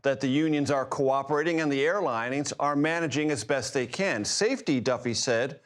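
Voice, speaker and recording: A man anchoring a news program, reading a script in a formal, deliberate, emphatic way. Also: fairly high pitch.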